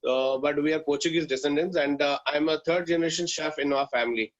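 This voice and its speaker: A man, a guest chef on a video call with dull, muffled audio. His voice sits a little high, and he speaks haltingly, restarting his phrases as he goes. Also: fairly even intonation. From India.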